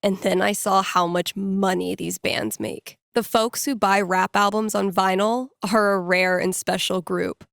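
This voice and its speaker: A woman's voice: mid-range voice, steady tone, measured pace, creaky, hardly any pauses, fairly bright tone, no room sound. An actress playing a wry, playful young woman DJ in a video game, musing to herself in a dry, conversational way. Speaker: video game character, radio DJ and record store worker. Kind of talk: video game voice lines, casual inner monologue.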